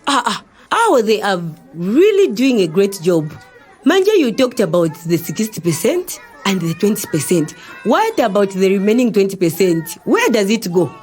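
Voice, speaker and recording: Woman playing an animated, inquisitive Zambian auntie. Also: mid-range voice, normal speed, faint music behind, lightly acted. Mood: curious. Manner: animated, exclaiming, full of questions. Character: inquisitive, chatty Zambian mother, teasing auntie. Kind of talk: acted educational audio drama.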